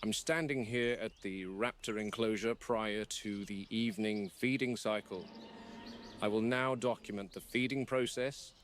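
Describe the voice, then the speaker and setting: An actor playing a serious game warden who narrates a formal, objective log in a found-footage horror film. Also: male voice, on the low side, steady tone, measured pace, fairly bright tone, a little hiss or hum. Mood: measured, grave. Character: measured, serious male game warden documenting dangerous predators.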